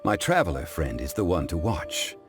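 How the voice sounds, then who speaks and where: A deep-voiced, dignified gentleman scholar speaks with formal, measured courtesy. His lecturing tone is composed yet richly inflected, in the style of an anime game, with music underneath. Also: wildly swooping pitch. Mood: erudite, courteous. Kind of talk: English anime game dub acting.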